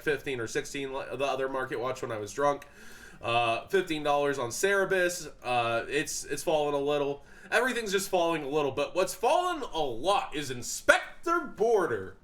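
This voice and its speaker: A man's voice: on the high side, a bit bright. A young man with a stuffy, congested voice, talking casually about card prices with fillers and restarts. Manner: chatty, frequent fillers and restarts. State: congested, sniffling. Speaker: card market commentator. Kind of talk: casual commentary.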